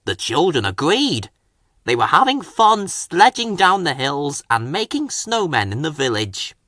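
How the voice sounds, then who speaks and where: A man reading a children's storybook aloud as a scripted narrator, with an expressive, animated delivery. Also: on the high side, measured pace, no echo, studio quality. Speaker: story reader.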